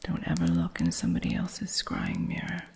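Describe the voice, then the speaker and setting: A woman playing a female witch shopkeeper who teaches an apprentice, in a soft whisper with dry humor, for an ASMR roleplay. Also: a bass voice, sing-song, normal speed, creaky, slightly rough, full character voice. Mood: hushed.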